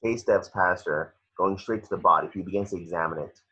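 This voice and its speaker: A man's voice: low voice, fairly quick, bright, forceful tone, breathy edge. A man reading scripted action descriptions as narrator for a table read, on a muffled home recording.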